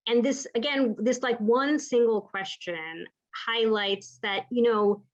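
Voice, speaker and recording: A woman's voice: on the high side, takes it slowly, dull audio. A woman from the United States who works as a palliative care clinician, telling a story from practice in a thoughtful, conversational way and often checking in with "right?". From United States (Pacific Northwest). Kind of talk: reflective storytelling in a lecture.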